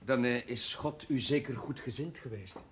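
A young chaplain played by a male actor with a medium-pitched voice, modest and courteous, speaking hesitantly in a television period drama. The soundtrack is old, with faint noise behind him. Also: moderate pace, close to an everyday voice. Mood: earnest, hesitant. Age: young adult. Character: modest, polite young village chaplain, newly ordained man.

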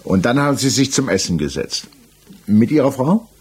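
A man acting a composed, persistent examining magistrate in a 1960s German radio crime drama, questioning in a measured, official way. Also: medium pitch, sing-song, darkish tone, slightly rough, exaggerated voice. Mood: probing. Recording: 1960s mono radio production.

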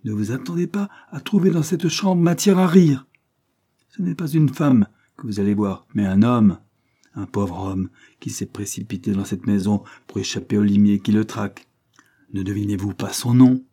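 A man reading a calm, firm lawyer. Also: mid-range voice, moderate pace, husky. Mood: grave. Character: calm, composed male lawyer brother.

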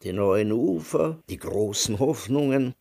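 An actor playing a sardonic, world-weary old emigrant in a German radio drama, dry and deliberate in manner. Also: male voice, medium pitch, expressive, creaky, warm tone. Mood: wistful reminiscing. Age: older.

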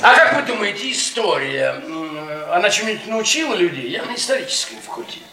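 A sardonic, worn-down middle-aged academic in a theatrical radio drama. His voice sits high and swings widely as he rambles and needles, heard a little away from the microphone in a roomy recording. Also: big pitch swings, brisk, very noisy. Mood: sardonic rambling. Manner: rambling, sardonic, needling, repeats words mockingly. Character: bitter, mocking middle-aged male history professor, a worn-down failure. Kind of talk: theatrical Soviet radio play acting. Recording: roomy, distant 1980s radio play recording.

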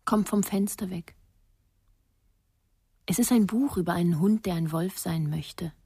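An actress playing an anxious mother coaxing a silent child in a radio drama, hushed, husky and insistent. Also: on the low side, a little slow, no room sound, heavily acted. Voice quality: breathy, husky. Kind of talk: German radio drama acting.

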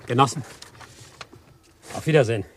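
An actor playing a stern official in a radio play, with a declamatory delivery. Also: male voice, medium pitch, moderate pace, slightly rough, close to an everyday voice. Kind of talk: 1980s radio drama acting.

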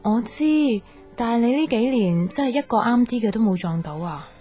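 A woman plays a sweet young romantic heroine with a fairly high voice and a Hong Kong Cantonese accent. She speaks in an earnest, girlish way, as in a natural radio play, over soft music. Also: expressive, fairly quick, close to an everyday voice. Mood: earnest, confiding. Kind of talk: naturalistic radio drama acting.

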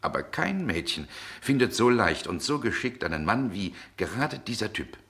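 An actor playing a brooding, world-weary middle-aged gentleman in a 1960s German radio drama, with genteel, measured diction. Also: lowish voice, sing-song. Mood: wry, lecturing.

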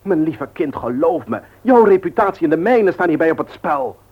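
A man's voice: fairly high pitch, lively intonation, moderate pace, breathy edge. An actor playing a stern, condescending man who guards his reputation in a radio play, speaking formally. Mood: stern, patronising. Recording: dull old radio recording.

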